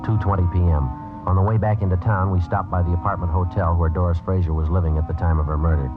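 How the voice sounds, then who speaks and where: An actor playing a matter-of-fact American police detective in an old radio crime drama, terse, deadpan and businesslike. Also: male voice, sits low, fast, music in the background, lightly acted. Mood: deadpan, factual. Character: male police detective, clipped, matter-of-fact, methodical narrator. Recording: tinny old radio recording.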